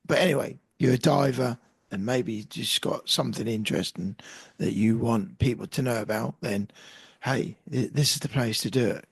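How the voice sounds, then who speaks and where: A man co-hosting a podcast, hoarse from a cold, talking in a jokey, self-deprecating way with plenty of restarts. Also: medium pitch, normal speed. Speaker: podcast co-host. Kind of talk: casual banter.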